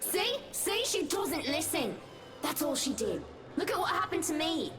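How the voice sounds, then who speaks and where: A mocking dead woman haunts this horror game. Her high voice is taunting and seductive, sliding up and down in big swings. A long reverb surrounds it, with music playing loudly behind. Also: big pitch swings, a little slow, full character voice. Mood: bitter, taunting. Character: cruel dead woman, mocking ghostly head in a nightmare. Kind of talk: visual novel voice acting.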